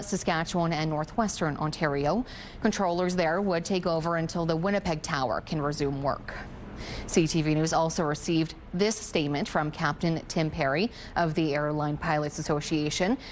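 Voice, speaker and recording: A woman's voice: sits low, slight background noise. A woman delivering a scripted live news report.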